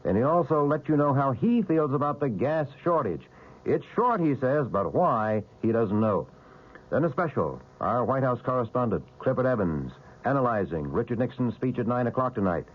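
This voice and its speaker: A man's voice: medium pitch, lively intonation. Punchy man promoting a radio newscast. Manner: brisk, punchy, playful questions. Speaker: radio news anchor. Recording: dull lo-fi old radio broadcast.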